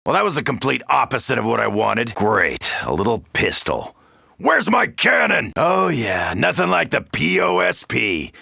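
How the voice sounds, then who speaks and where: An actor playing a brash, irritable, foul-mouthed American marine in a video game, barking slangy combat lines in a raspy voice with an American accent. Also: male voice, medium pitch, huge pitch swings, moderate pace, bright, forceful tone, no room sound, clean studio sound, heavily acted. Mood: annoyed, brash. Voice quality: very gravelly, raspy.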